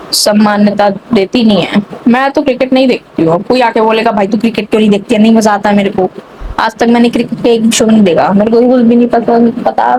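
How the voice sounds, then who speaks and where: Young woman, high voice, sarcastic rambling vlogger, mixed online-picked English accent, light background noise. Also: darkish tone, poor audio. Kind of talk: casual rant to camera.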